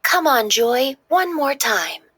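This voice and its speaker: A woman voicing a loyal, cheering male imaginary friend from a children's story, excitable and big-hearted. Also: high voice, slow, voice pushed up, heavily acted. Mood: hopeful.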